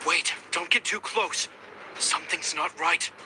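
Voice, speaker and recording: An actor playing a steady, protective young guard and loyal brother in a video game, speaking earnestly and resolutely. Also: male voice, a little high, measured pace, natural voice. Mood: calm, cautious. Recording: clear background noise.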